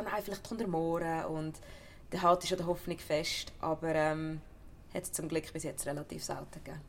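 A young woman with a Swiss German accent, an actress chatting casually as an interview guest, lively and laughing, sometimes dropping to a soft near-whisper. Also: deep voice, gravelly, slight background noise. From Switzerland.

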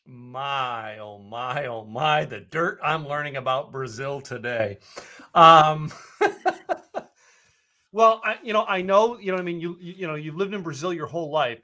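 A man's voice: fairly high pitch, expressive, measured pace, bright, forceful tone. An American man hosting a casual conversation, who rambles with many fillers and restarts. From United States.

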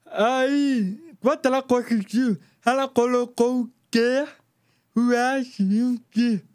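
A man's voice: very high-pitched, steady tone, slow, a little mellow, smooth. A man from Rio de Janeiro who lip-reads, speaking with careful, uneven pronunciation learned artificially, as a guest in a casual interview.

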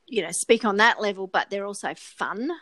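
A woman of middle pitch joins a casual recap chat, adding considered opinions. Her voice sounds close and dry. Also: moderate pace, fairly bright tone, no echo. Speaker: podcast co-host.

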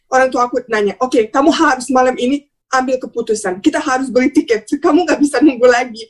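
A young woman chats as a podcast guest. Her voice sits fairly high and she talks quickly. She is expressive and talkative, dropping in English words as she tells her stories. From Bosnia. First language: Bosnian. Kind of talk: casual conversational storytelling.

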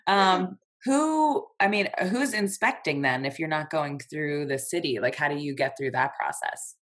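A woman's voice: sits low, animated, a little slow, a bit bright. A woman hosting a casual interview, asking questions informally with plenty of "like". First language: English. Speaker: interviewer, host.

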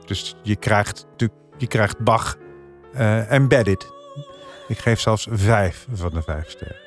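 A man's voice: lowish voice, slow. A man with a Netherlands Dutch accent chatting casually as a panelist on a podcast. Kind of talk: casual chat. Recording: music bed behind the voice.

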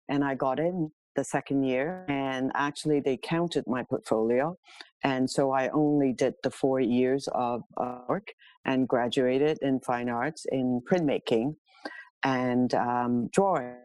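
A woman's voice: extremely low pitch, measured pace, darkish tone, no echo, rough recording. A middle-aged woman from Hong Kong telling her own story casually and candidly as a podcast guest. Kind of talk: casual autobiographical interview talk.

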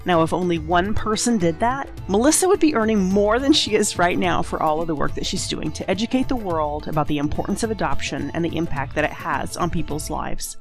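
A woman's voice: on the low side, animated, brisk, hardly any pauses, faint music behind. A woman, the show's editor and producer, earnestly reading a prepared appeal asking listeners for support.

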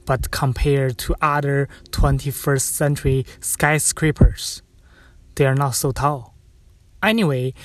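A man from China, a dance artist, speaking gently in a measured guided narration over soft music. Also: a little high.

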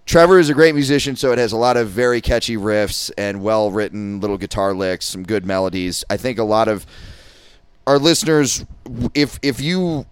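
Male podcast co-host, low, clean dry voice, rambling and profane. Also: normal speed, smooth, dry recording. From Rochester, New York. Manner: profane, rambling, enthusiastic about local bands. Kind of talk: casual chat.

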